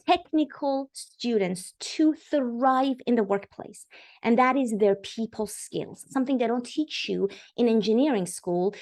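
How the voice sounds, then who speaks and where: A woman's voice: on the high side, a little mellow. A middle-aged woman from Iran, a podcast guest telling her career story in an enthusiastic, chatty way with fillers. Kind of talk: podcast interview.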